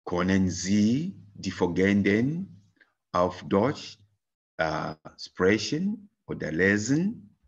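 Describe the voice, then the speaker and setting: A man from Zambia teaching German, reading a practice dialogue for learners very deliberately with fillers over a webcam. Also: deep voice, sing-song, slightly rough, highs cut off.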